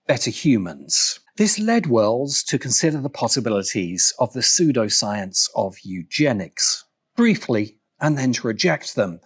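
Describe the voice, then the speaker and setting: A man narrating a scripted video essay in a deliberate way, with dramatic pauses. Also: medium pitch, fairly bright tone, slightly rough. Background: background music.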